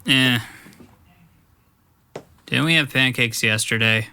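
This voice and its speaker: Son role acted: college-age young man, resentful, snide, bright edgy voice, dismissive delivery. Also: medium pitch, slow pace, clean tone, full character voice. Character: sullen, sarcastic college-age son resentful of his cousin. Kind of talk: audio drama dialogue.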